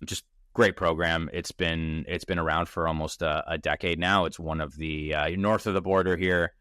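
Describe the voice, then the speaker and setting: A man of thirty speaks in a low voice, casual and energetic. He thinks aloud as a founder on a podcast, restarting often. The sound is dry and close. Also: normal speed, smooth, no room sound. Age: 30s. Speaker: startup founder, podcast guest. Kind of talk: casual interview conversation. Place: Toronto.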